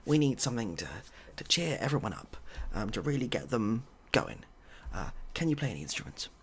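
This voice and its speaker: A man's voice: medium pitch, sing-song, fairly quick, creaky, a little hiss or hum. A man voicing an eager, easily amazed member of a religious sect in an improvised story. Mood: coaxing.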